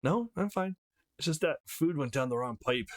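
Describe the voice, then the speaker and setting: A man's voice: fairly high pitch, lively intonation, moderate pace, voice pushed up, lightly acted. A man playing an earnest, easily embarrassed young man in a visual novel, with self-conscious inner monologue. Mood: flustered. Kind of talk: visual novel character reading.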